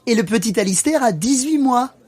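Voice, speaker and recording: A man's voice: extremely high pitch, animated, fairly quick, a little mellow, breathy edge, exaggerated voice. A man playing a genial, teasing French father in a scripted language-learning radio play.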